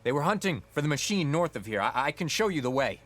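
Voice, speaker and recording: An actor playing an earnest, remorseful young soldier who deserted the rebels, in a video game cutscene. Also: male voice, on the high side, sing-song, fast talker, fairly bright tone. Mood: urgent pleading.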